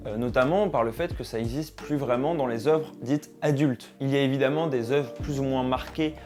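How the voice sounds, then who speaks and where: A man's voice: mid-range voice, normal speed, darkish tone, soft background music. A young man hosting a conversational video essay, speaking informally and straight to his viewers. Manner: informal, addresses viewers directly.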